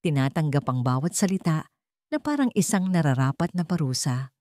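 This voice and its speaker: A woman storyteller reading a scripted dramatic story in an earnest, measured way. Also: low voice, lively intonation, mellow, no room sound, clean studio sound.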